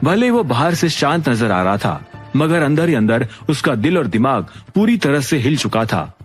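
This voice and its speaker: A man's voice: medium pitch, very animated, moderate pace, soft background music. A man narrating a scripted dramatic audio story.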